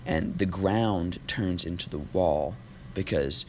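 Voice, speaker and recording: A man teaching a recorded classroom lesson while losing his voice, talking in a relaxed, casual way with frequent ums. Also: low voice, slow pace, slight background noise.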